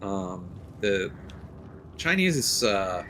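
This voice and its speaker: Low-voiced man rambling over gameplay. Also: sing-song, drawn-out words, very noisy. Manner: rambling, thinking aloud with many fillers. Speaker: game streamer. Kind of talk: casual gameplay commentary.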